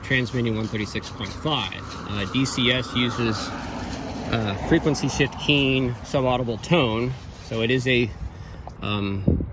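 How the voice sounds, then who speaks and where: A man giving an informal, unscripted technical review, explaining things in a halting way. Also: mid-range voice, noisy background. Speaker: radio equipment reviewer.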